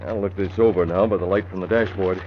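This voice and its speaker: Resolute vigilante lead for old radio serial, low, clipped, composed, played by a man, muffled and hissy. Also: fairly even intonation, fast talker, lightly acted. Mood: brisk, resolute. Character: composed, resolute male masked crime-fighter. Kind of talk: 1940s radio acting. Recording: dull, noisy old radio recording.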